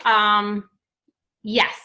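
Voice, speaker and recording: A woman from Peru, a podcast guest in casual interview chat, talking haltingly with many ums and restarts. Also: medium pitch, monotone, sharp tone, lo-fi sound.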